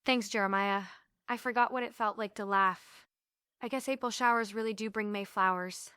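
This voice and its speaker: Woman acting a bright-voiced, yearning romantic heroine. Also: medium pitch, fairly even intonation, moderate pace, clean tone, no room sound, clean studio sound, close to an everyday voice. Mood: tender. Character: yearning, stubborn, emotional woman in love. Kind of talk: script table read, musical play.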